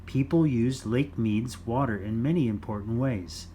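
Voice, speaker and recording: A man with a mid-range voice reads a children's fact book aloud. He takes it slowly, in simple, deliberate phrases, with some background noise. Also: medium pitch, mellow. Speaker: children's storytime reader.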